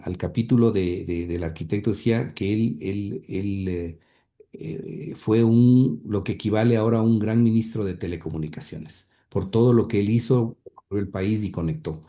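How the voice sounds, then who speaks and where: A man's voice: on the low side, dark tone. A man answering interview questions about his late grandfather, speaking hesitantly with many fillers and repeated words. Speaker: interview guest, grandson of the subject.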